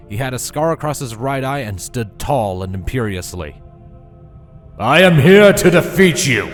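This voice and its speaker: Guy reading a story out loud, dramatic narrator style, medium pitch, bit of music behind him.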